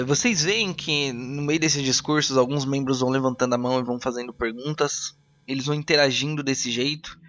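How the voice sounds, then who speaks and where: A man's voice: medium pitch, fairly bright tone, muffled recording, slight background noise. A man with a Brazilian accent narrating a tabletop RPG audio drama as game master.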